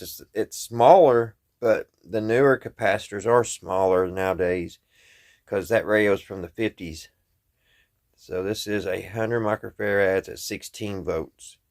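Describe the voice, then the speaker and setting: A man with a Southern US accent and a slightly husky voice, chatting casually in a folksy way as he talks through a hands-on repair, thinking aloud. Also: on the low side. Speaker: host of a radio repair video. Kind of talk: casual hands-on tutorial chat. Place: at a workbench.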